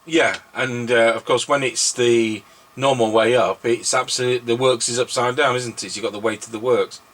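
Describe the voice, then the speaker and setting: A man's voice: mid-range voice, steady tone, normal speed, fairly bright tone. A man chatting casually and making CB radio test calls in a matter-of-fact way, using radio procedure words, on a noisy recording. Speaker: radio repairer and test operator.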